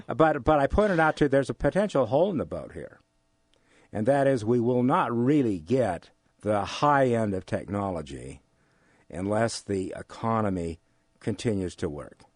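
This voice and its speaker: An older man with an American accent explains things in an interview, haltingly, repeating phrases for emphasis. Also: lowish voice, darkish tone, no echo, clean studio sound. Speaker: economics instructor giving an interview.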